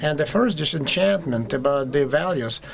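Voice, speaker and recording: A man with a Russian accent speaks at a conference panel in a fairly high voice. He talks haltingly, with many fillers and restarts, searching for his words in English. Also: animated, creaky, crowd noise.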